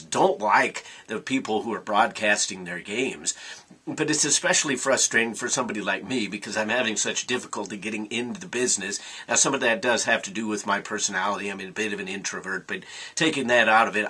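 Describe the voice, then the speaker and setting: A man in his thirties giving an animated, frustrated postmatch review from a small room into a webcam. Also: fairly low pitch, breathy edge. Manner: animated, frustrated ranting with gestures. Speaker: soccer fan vlogger. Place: small room with sports posters, indoors.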